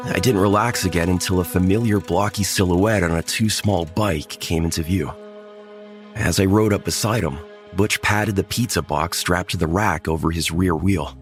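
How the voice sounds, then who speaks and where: Male narrator, very low, tense first-person horror read, music bed behind. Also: moderate pace. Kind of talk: horror audio drama narration.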